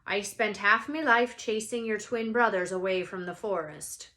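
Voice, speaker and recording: A woman voicing a big, gruff, friendly gamekeeper man with a blunt, rustic, hearty manner in a storybook read-aloud. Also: medium pitch, moderate pace, bright, forceful tone, close to an everyday voice.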